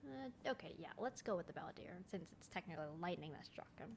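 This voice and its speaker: A woman's voice: fairly low pitch, expressive, quick pace, creaky. A woman doing casual let's play commentary, chatty and giggly as she reacts aloud to a video game. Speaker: gaming streamer. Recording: loud game audio and noise behind. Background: video game sound.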